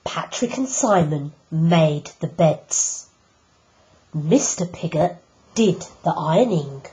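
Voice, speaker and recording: Woman teacher reading a storybook aloud, lowish voice, takes it real slow. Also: animated, mellow, a little hiss or hum, low-quality recording. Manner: slow, deliberate reading with emphatic pauses. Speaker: teacher reading a picture book.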